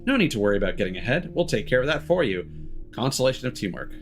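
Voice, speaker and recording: A man's voice: mid-range voice, very animated, fast. Male game streamer, mid-range voice, cheeky read-aloud with dry asides, background music.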